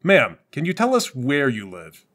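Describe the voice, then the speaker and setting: Theatrical, animated man at the game table. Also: medium pitch, unhurried. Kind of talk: casual comic banter and in-character roleplay.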